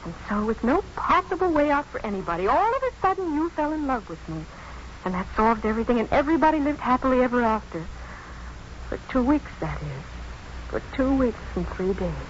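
An anxious young wife, wry and lovestruck. Her intonation swings widely as she speaks in halting, emotional phrases. It is old radio drama, dull in sound with a faint noise. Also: fairly high pitch, sing-song. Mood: bitter, sad. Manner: halting, emotional, self-mocking. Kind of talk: 1950s radio drama acting. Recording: dull, faintly noisy 1950s radio broadcast.

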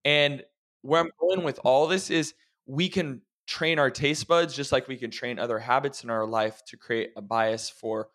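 Encouraging male health coach, mid-pitched. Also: fairly even intonation, measured pace, a bit bright. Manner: reflective, encouraging, addresses listeners directly. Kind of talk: casual podcast chat.

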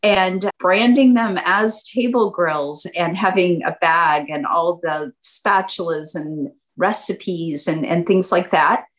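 Woman, fifties, slightly low voice, lively guest telling her story in an interview. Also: slow, hardly any pauses, low-quality recording. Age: 50s. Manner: animated, acts out quoted friends in a higher voice. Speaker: guest entrepreneur. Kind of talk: casual interview chat.

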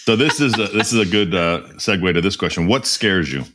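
A man hosting a podcast, asking questions and running a quick quiz game in a loose, repetitive way. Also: deep voice, fairly bright tone, faint music behind. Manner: loose, repeats himself, many fillers. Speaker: podcast host.

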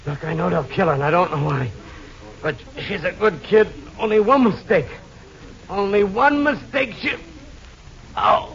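Dying guy in an old radio play, high strained voice, halting, muffled recording. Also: vocal fry, fairly bright tone, heavily acted. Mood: desperate, fading. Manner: halting, gasping, repeating himself. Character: dying man, gasping, desperate to save a woman. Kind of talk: 1940s-50s radio acting.

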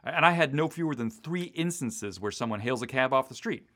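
Male writer in interview, East Coast US origin. Very animated intonation, casual, enthusiastic, prone to detailed tangents. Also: mid-range voice, a little fast. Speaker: audio drama writer being interviewed. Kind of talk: casual, detailed behind-the-scenes chat.

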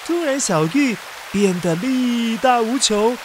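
Animated male children's storyteller. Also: very high-pitched, slow, background noise. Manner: expressive, dramatic, with exclamations and sound words. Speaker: children's story host and narrator. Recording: music behind the voice. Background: music.

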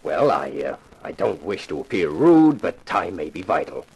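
A man acting a formal, falsely polite villain with a husky voice and hesitant, courteous manner in 1950s radio drama. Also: low-pitched, big pitch swings, normal speed, darkish tone, heavily acted, low-quality recording. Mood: oily, polite.

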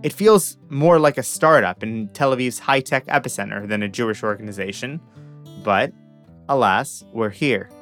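A young man narrates a scripted podcast opening at a medium pitch, with a wry, self-aware touch. Light guitar music plays quietly under his voice. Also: moderate pace. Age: young adult. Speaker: podcast host. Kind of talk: scripted narration and interview questions.

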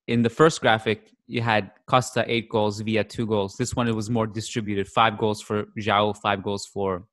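A man's voice: lowish voice, little pitch movement, normal speed, fairly bright tone. A man co-hosting a football podcast, chatting casually and rambling with frequent restarts as he thinks aloud. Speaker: podcast co-host.

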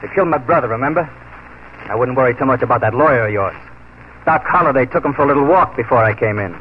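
Cold vengeful western marshal, a man plays him, firm and clipped, middle pitch, muffled old radio sound. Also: animated, quick pace, slight background noise, natural voice. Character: grim vengeful frontier marshal, steely. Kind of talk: 1940s radio acting.

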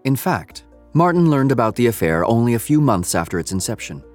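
A man giving measured, literary narration for an audio drama, telling the story in the third person. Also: mid-range voice, big pitch swings, a little mellow, soft background music.

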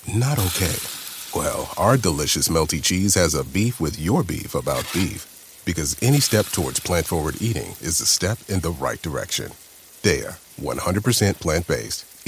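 A man's voice: extremely low pitch, a little hiss or hum. A man reading a scripted, wryly humorous advertisement voice-over. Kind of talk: scripted commercial read with wry humour.

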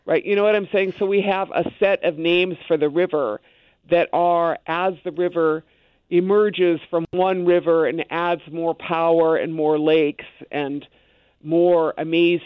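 A woman's voice: on the low side, fairly even intonation, measured pace, smooth, dry recording. Thin phone-line sound, woman chatting and explaining on a radio show, lots of you knows. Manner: emphatic storytelling, frequent "you know" asides. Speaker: guest. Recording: phone-like narrow band.

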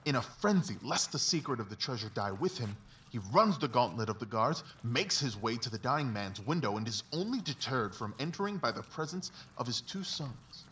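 A man's voice: mid-range voice, animated, normal speed, fairly bright tone, natural voice. A man playing a confident, analytical Victorian detective who explains things in a precise, emphatic, didactic way, as in a dramatised audiobook. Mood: intent reasoning. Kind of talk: dramatised literary audiobook dialogue. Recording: distant mic, faint background noise.

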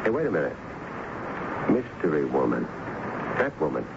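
An actor playing a persistent, brooding police lieutenant who asks terse, probing questions in an old-time radio drama. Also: male voice, rumbling low, very animated, normal speed, creaky, exaggerated voice. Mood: intrigued, brooding. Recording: dull, noisy vintage radio recording.

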